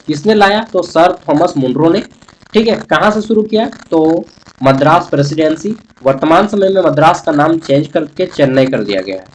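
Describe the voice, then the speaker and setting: A man with a Jharkhand Hindi accent and a slightly high voice gives an informal lesson. He talks straight to his students in everyday colloquial speech. He often poses a question and then answers it himself. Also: poor audio. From Jharkhand, India. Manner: colloquial, chatty, addresses students directly. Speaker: history teacher for college students. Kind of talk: informal exam-prep lesson.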